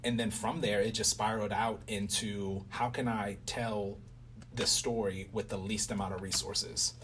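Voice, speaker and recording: A man's voice: on the low side, little pitch movement, moderate pace, fairly bright tone. A man talking casually in an informal interview, as a writer discussing creative work. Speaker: interviewer and radio play writer. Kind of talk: casual impromptu interview chat. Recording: noisy room, distant mic.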